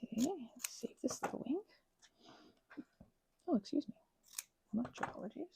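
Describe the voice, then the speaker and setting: A woman making an ASMR craft video, rambling softly with whispered asides, little exclamations and meandering self-interrupting tangents. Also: fairly high pitch, expressive, normal speed, vocal fry, husky. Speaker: ASMR creator.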